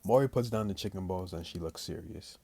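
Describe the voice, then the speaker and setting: A young man hosting a gaming video, reading visual novel lines aloud in a casual, halting way. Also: low-pitched, vocal fry, mellow. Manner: halting, stumbling reader.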